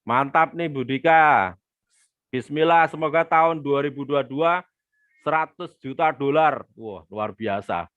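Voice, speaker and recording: Guy running a live marketing webinar, smooth clear voice, kinda dull dry sound. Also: medium pitch, unhurried, a bit bright, no room sound. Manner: chatty, addresses viewers as friends, reads comments aloud. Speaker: business trainer hosting a live webinar. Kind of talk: live-stream teaching with viewer shout-outs.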